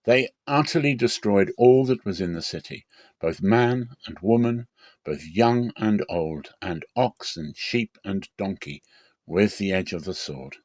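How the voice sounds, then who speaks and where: A man with a fairly low voice narrates scripture like a solemn story. His delivery is deliberate and dramatic, and the recording is very dry with no room sound. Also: expressive, a little mellow, no room sound. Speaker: scripture reader.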